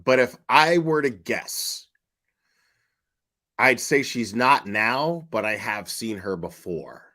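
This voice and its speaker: A man's voice: medium pitch, animated, slow pace, bright, forceful tone. A man giving casual, sweary reaction commentary in a blunt, mocking, sardonic manner. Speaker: commentator reacting to online true-crime streamers.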